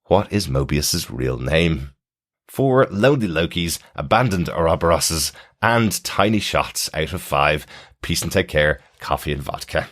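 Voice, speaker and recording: A man's voice: a bass voice, lively intonation, measured pace, fairly bright tone. A man hosting a podcast in a casual, chatty, conversational way. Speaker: podcast host. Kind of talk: casual TV discussion, reading listener email.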